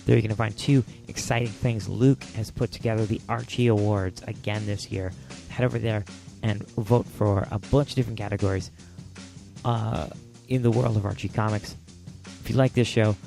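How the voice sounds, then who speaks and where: A man's voice: on the low side, steady tone, brisk, creaky, mellow, faint music behind. A man hosting a podcast on his own, talking casually with many fillers and repeats, his voice scratchy as he loses it. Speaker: podcast host. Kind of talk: solo podcast talk.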